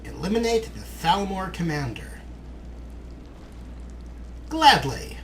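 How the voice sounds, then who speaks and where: Male let's play host, lively swooping delivery, theatrical phrasing, faint noise behind. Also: high-pitched, slow pace. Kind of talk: gameplay commentary.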